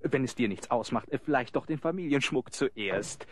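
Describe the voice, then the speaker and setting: A man playing a flattering, scheming young son-in-law, suave and falsely modest, in a radio play. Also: medium pitch, normal speed, noisy background, close to an everyday voice. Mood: ingratiating.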